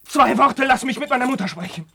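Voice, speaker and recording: An actor playing an impassioned, desperate young crown prince, pleading theatrically in 1950s German classical radio drama style. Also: male voice, very high-pitched, a little fast, gravelly, bright, forceful tone, husky, exaggerated voice. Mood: frantic begging. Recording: 1950s radio studio recording.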